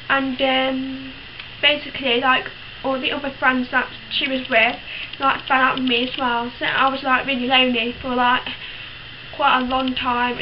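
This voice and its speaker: A teenage woman talks frankly and casually to camera in a high voice, full of ums and restarts. The sound is dull and lo-fi. A clear hiss runs under her speech. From United Kingdom. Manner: chatty, frank, many fillers and restarts. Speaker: vlogger.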